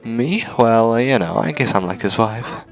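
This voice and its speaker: A man's voice: mid-range voice, expressive, a little slow, soft background music, voice pushed up. A man voicing a brash, scheming adult woman in a visual novel, with a cocky, mocking way of talking. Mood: smug.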